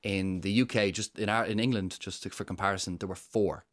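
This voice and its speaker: A man's voice: deep voice, steady tone, fairly quick. A man, explaining ancient trees and old laws with enthusiasm in an interview. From Ireland. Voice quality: enthusiastic, explanatory, some hesitations. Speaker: guest, nature and history expert.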